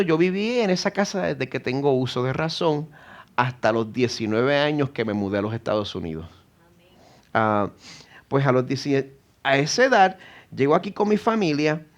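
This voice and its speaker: Puerto Rican pastor preaching earnestly. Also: male voice, fairly high pitch, dull audio. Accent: Puerto Rican Spanish. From Puerto Rico. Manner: earnest preaching, rising to emphatic exhortation. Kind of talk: sermon. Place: church sanctuary.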